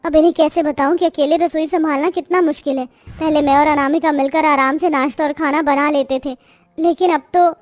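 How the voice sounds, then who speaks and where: A woman's voice: sits high, fairly even intonation, a little fast, lightly acted. A woman playing an irritable, complaining young daughter-in-law in a moral-story cartoon, with a scolding, exasperated manner. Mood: weary, apologetic.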